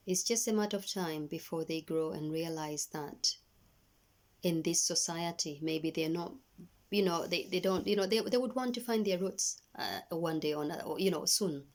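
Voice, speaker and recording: A middle-aged woman from Tanzania with a Tanzanian accent speaks in a low voice. Swahili is her first language. She offers parenting advice earnestly, often pausing and restarting her sentences. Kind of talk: personal video message.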